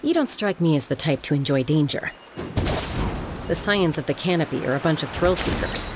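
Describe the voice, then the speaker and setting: A woman's voice: low voice, normal speed, background noise, lightly acted. An actress playing a cool, composed young woman peacekeeper in a video game, speaking in a businesslike manner. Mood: wry. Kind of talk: English video game voice acting. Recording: game music behind voice. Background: game music.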